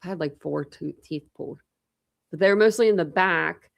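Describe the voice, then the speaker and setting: A woman's voice: on the low side, normal speed, gravelly. A young woman with a slight lisp, chatting casually with viewers during a livestream and replying to their comments. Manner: chatty, rambling, answers chat comments. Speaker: true crime livestream host.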